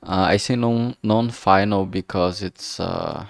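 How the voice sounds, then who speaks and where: A man giving a casual, unscripted livestream update in a halting, rambling way, often trailing off mid-phrase. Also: low voice, creaky, no room sound. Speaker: streamer, visual novel maker.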